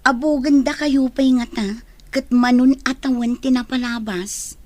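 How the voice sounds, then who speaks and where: A woman's voice: sits high, steady tone, a little slow, a little mellow, no room sound. A woman playing a practical, frank confidante in a radio drama, giving advice with an Ilocano accent. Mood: advising.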